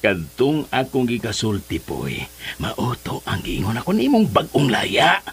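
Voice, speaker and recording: An actor with a Filipino accent playing a scheming, lovestruck male villain in a radio drama, speaking in a rough, exaggerated way. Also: medium pitch, very animated, fairly bright tone, exaggerated voice. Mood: gleeful scheming. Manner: slow, exaggerated, swinging delivery.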